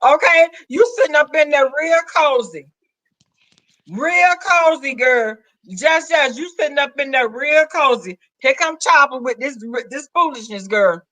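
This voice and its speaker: Brief: Southern woman live stream host, sassy and teasing, high bright voice. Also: unhurried, no echo, low-quality recording. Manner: sassy, teasing, calls others "girl" and "child". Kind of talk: casual banter on a live stream.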